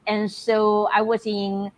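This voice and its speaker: A middle-aged woman, speaking at a middling pitch as a guest on a video interview. Her talk is reflective and conversational. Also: completely flat delivery, slow pace, slight background noise, poor audio. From Taiwan.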